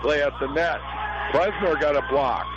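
A middle-aged American man with a hoarse, failing voice gives folksy live play-by-play commentary on a local volleyball game in short phrases. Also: a little high, normal speed, heavy background noise. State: hoarse, losing his voice from allergies. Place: high school gym. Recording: dull lo-fi radio broadcast sound.

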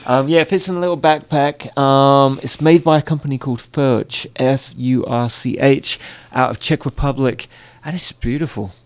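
A man's voice: mid-range voice, fairly even intonation, moderate pace, smooth. A man from near London with an English accent, chatting easily as a guest singer-songwriter in a radio studio interview. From England, near London. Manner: easygoing, agreeable, many yeahs.

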